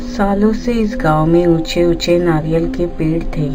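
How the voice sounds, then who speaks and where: A woman narrating a scripted horror story in short, measured phrases with pauses between them. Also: fairly low pitch, over music.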